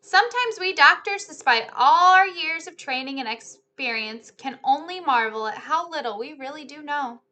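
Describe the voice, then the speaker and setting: Woman as a calm, bright-voiced family doctor. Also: sits high, moderate pace, hardly any pauses, voice pushed up. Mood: musing.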